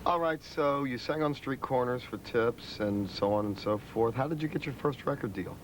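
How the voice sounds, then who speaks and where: A man interviewing people, putting his questions in an informal, halting way. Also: mid-range voice, a little hiss or hum, rough recording.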